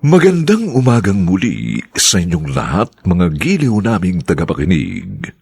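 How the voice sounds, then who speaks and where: Male radio drama host, slow and gravelly, husky, formal announcing, dry close mic. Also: low voice, huge pitch swings, darkish tone. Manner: slow, measured, gravelly delivery.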